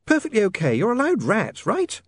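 A man voicing a brisk, earnest young woman in an audiobook, with a high feminine voice and urgent, coaxing, emphatic delivery. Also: wildly swooping pitch, husky, no echo, studio quality, exaggerated voice. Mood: reassuring.

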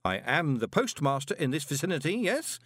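A man voicing a glib, quick-witted male swindler turned postmaster in an audiobook, brisk, assertive and persuasive. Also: on the high side, very animated, a bit bright, no echo, clean studio sound, voice pushed up. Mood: brisk, commanding. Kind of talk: audiobook character voice.

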